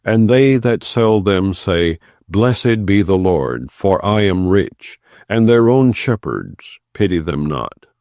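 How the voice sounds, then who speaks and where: A man narrating scripture in a solemn, measured, scripted audiobook style, phrase by phrase. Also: low-pitched, expressive, darkish tone, no echo, clean studio sound. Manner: solemn, measured delivery in short deliberate phrases. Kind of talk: scripted narration, non-dramatized scripture reading.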